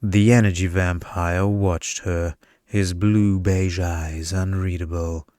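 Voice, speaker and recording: A man reading a story in ASMR bedtime style, with a measured, deadpan delivery. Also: low voice, no room sound, studio quality.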